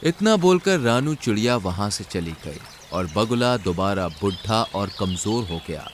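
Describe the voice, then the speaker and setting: A man's voice: on the low side, lively intonation, a little slow. A man reading scripted narration for a children's cartoon story.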